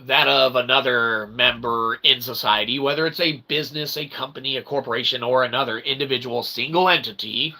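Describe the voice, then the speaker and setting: A man giving rambling, irreverent opinion commentary in wordy, repetitive, slangy phrasing, often checking in with a quick tag question. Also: mid-range voice, steady tone, sharp tone, poor audio. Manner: punctuates points with "okay?" and "all right?". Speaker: host of a commentary channel.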